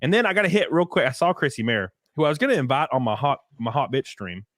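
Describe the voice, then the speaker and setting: A man's voice: mid-range voice, fast talker, no echo, clean studio sound. A man from the American South with a Southern accent, hosting a casual livestream chat and reading viewer comments aloud. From southern United States. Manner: animated, laughing, reads viewer chats aloud. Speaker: podcast host.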